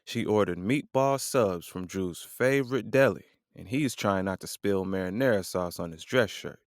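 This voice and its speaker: A man's voice: low-pitched, normal speed, no room sound, studio quality. A man reading scripted story narration for an audio drama.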